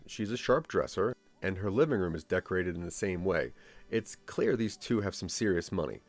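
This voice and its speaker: A man's voice: sits low, expressive, normal speed, soft background music. A man narrating a scripted second-person story for an interactive audio drama.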